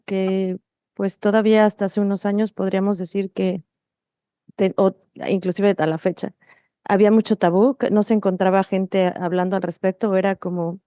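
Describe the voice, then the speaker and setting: A woman's voice: medium pitch, warm tone, clear, resonant tone, no echo, studio quality. A woman with a Mexican accent hosting a casual interview, phrasing things hesitantly with frequent tag questions. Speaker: podcast host interviewer.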